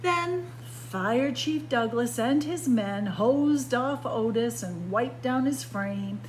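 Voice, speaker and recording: A woman reading a children's picture book aloud as a story time narrator, with expressive, dramatic phrasing. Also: slow pace. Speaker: library story time reader. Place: indoors by bookshelves. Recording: clear background noise with low hum.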